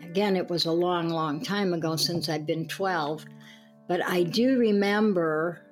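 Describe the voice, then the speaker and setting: An older woman sharing personal reminiscences in a halting, reflective way. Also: on the low side. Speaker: discussion participant. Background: music.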